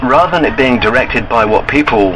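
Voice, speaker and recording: A man calling an enquiry line and arguing his points in an earnest, insistent, halting way. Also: medium pitch, animated, crowd noise. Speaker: caller to a bank enquiry line. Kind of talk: argumentative phone discussion. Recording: recorded phone call with background noise.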